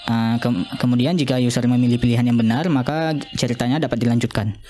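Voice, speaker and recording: A young man narrating an informal software demo as a student, speaking haltingly and repeating himself. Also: medium pitch, fairly even intonation, faint music behind. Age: young adult. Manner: halting, repetitive. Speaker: student demonstrating own app.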